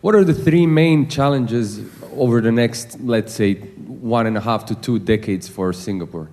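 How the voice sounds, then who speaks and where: Guy in the audience asking a question, halting, lots of um and uh. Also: mid-range voice, a little mellow. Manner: hesitant, many fillers and restarts. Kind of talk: hesitant question from the floor.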